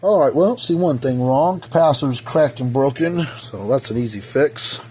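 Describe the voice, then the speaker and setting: A hoarse man repairing equipment in his workshop, rambling and thinking aloud as he works, recorded on a phone. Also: medium pitch, expressive, normal speed, a little mellow, slight background noise. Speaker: repair technician. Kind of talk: casual workshop repair talk. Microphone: phone camera.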